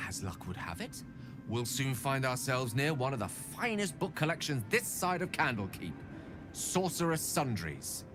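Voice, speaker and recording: An actor playing an eager, learned wizard who talks with slightly theatrical enthusiasm. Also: male voice, mid-range voice, sing-song, moderate pace, lightly acted. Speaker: game companion. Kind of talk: video game dialogue. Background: music.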